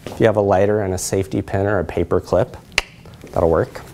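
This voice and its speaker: A man's voice: low-pitched, steady tone, fairly quick, mellow, slight background noise. An American man in his thirties giving an explanatory research presentation in a lecture room, checking in with his listeners. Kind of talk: conference presentation with audience questions.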